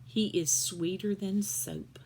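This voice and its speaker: A woman's voice: medium pitch, fairly even intonation, slow, gravelly, darkish tone, slightly rough, full character voice. Poetry-loving pet in a children's story, lilting and theatrical female narrator performing. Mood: dreamy reciting. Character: pet that spouts back romantic poetry.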